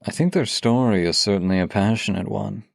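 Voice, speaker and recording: A man with a deep-leaning voice, husky and full of fry, hosts an intimate audio show. He talks straight to the listener in a theatrical, playful way. The sound is close and dry. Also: fairly quick, dry recording. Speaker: voice actor hosting an audio series. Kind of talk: scripted, intimate introduction to listeners.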